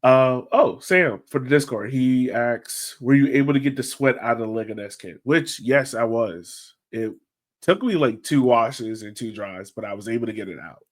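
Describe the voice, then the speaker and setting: Male podcast host, medium pitch, informal and conversational, easy sports chat. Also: moderate pace.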